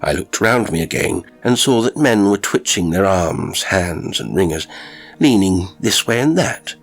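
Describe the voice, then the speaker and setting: A man narrating a first-person strange story from a script, with dramatic, expressive delivery and deliberate pauses. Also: deep voice, gravelly, faint music behind. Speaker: audiobook narrator of strange stories.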